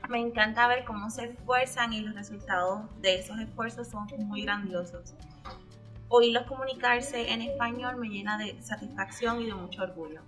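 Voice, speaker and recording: A woman teacher speaking in an expressive, heartfelt way. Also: a little high, a little fast, soft background music. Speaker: dual language teacher. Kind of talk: interview testimonial.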